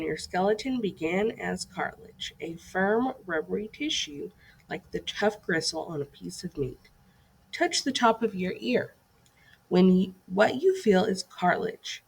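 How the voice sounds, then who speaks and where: A woman's voice: mid-range voice, creaky, slight background noise. A woman reading a scripted educational lesson aloud as a teacher, in an instructive way that poses questions to young listeners.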